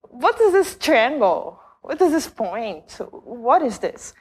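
A woman's voice: fairly high pitch, sing-song, slow pace, breathy edge. A young woman from Brazil with a Brazilian Portuguese accent giving a conference talk in a large lecture hall, speaking conversationally with many fillers and restarts. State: jet-lagged. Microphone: stage mic, camera from far back.

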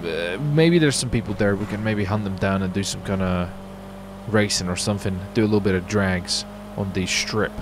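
Excitable man hyping up gameplay. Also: on the low side, background noise. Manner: excitable, hyped, swings loud when surprised. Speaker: gameplay streamer. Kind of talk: roleplay gameplay commentary.

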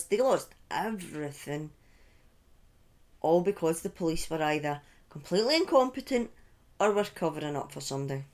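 Slow-talking middle-aged woman telling a story. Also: low-pitched, a little mellow. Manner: deliberate, pausing, emphatic repetition. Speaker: livestream host telling a true-crime story. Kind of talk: informal true-crime storytelling to a live chat. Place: small indoor room at home. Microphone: close desk microphone on a boom arm.

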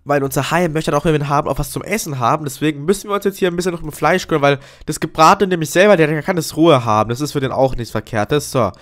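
A man's voice: a little high, quick pace, hardly any pauses. A man with a cold, giving chatty, upbeat commentary while playing a video game and often addressing his viewers as friends. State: has a cold. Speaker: gaming YouTuber. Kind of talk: casual Let's Play commentary.

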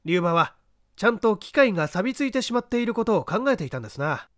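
Man acting a high-pitched, confident detective in an audiobook. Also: sing-song, fast, no room sound, studio quality. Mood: assured. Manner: polite, commanding, didactic. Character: coolly confident male detective, brisk, unruffled, explaining patiently. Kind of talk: audiobook character dialogue.

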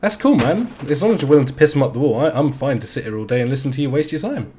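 A man's voice: mid-range voice, expressive, mellow. A man in his thirties from England with a London accent, joking easily with an opponent over game voice chat. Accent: London English. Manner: laid-back, joking, drawn-out words. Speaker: streamer. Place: small home streaming room. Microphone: headset.

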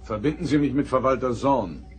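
A man's voice: medium pitch, animated, brisk, faint music behind, close to an everyday voice. A man playing a stern, authoritative starship captain in a science fiction audio drama, giving clipped orders. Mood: commanding. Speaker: voice actor.

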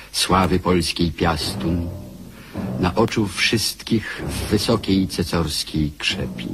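Deep, solemn war chronicler. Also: male voice, noisy background. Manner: solemn, stately. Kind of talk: declamatory radio drama narration.

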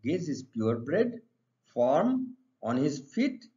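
A middle-aged man with an Indian accent gives a didactic lesson in a fairly high voice with very animated intonation. He reads out questions and explains each answer, repeating phrases as he goes. The sound is dull and lo-fi. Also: slow pace. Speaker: English literature teacher.

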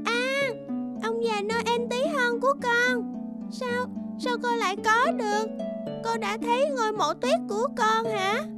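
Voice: extremely high pitch, measured pace. A performer voicing a stubborn, sad little girl in a cartoon, pouting and tearful. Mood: remorseful. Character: stubborn, grieving little girl who misses her mother. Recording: loud music under the voice. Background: background music.

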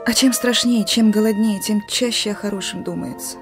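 A woman playing a young female partisan sentry in a radio drama, blunt and colloquial, gruff yet dreamy. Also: medium pitch, normal speed, music in the background, lightly acted. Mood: dreamy, yearning. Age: young adult. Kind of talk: Russian radio drama acting.

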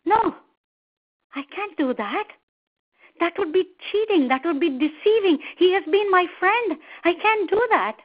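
An earnest crocodile husband from a children's tale, voiced by a woman. The voice is high and emphatic. The audio is a little dull. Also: a little slow. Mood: distressed. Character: reluctant, loyal male crocodile husband turned schemer.

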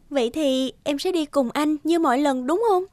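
A woman voicing a cheerful young wife in an animated cartoon, asking bright, eager questions. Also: sits high, measured pace, no echo.

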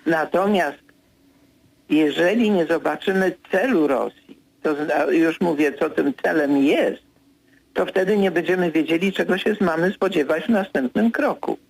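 Gravelly older man, slow and measured. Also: a little high, low-quality recording. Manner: measured, hesitant with many fillers, grows insistent when correcting. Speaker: political commentator. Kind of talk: radio studio discussion. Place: radio studio.

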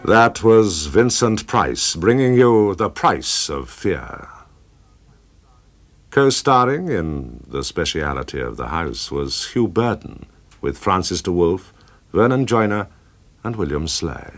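A man's voice: low-pitched, sing-song, slow, faint music behind. A man reading scripted closing credits as a formal radio announcer.

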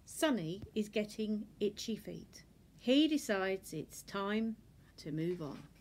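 A woman reads a picture book aloud for children at a very unhurried pace. Her voice sits at a middling pitch. She tells the story expressively and deliberately. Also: expressive, a little mellow, a little hiss or hum. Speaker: storybook reader. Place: indoors in a furnished room at home.

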